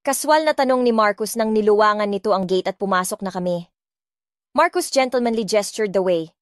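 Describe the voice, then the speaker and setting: A woman's voice: medium pitch, brisk, no room sound, studio quality. A woman with a Filipino accent reading dramatic first-person scripted narration as an audiobook narrator. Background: soft music.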